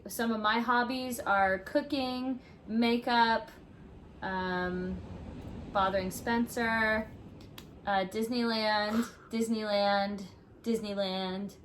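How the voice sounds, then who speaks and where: A woman's voice: fairly high pitch, fairly even intonation, slow, a little hiss or hum. Chatty woman in her thirties. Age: 30s. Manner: chatty, teasing, filler-heavy. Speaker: co-host of a couple's channel. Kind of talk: casual chat.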